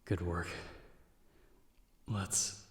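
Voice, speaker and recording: A man playing a calm, world-weary half-vampire hunter in an audio roleplay, speaking in a measured, understated way as a protective mentor to a companion. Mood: calm, businesslike. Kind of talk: ASMR audio roleplay acting.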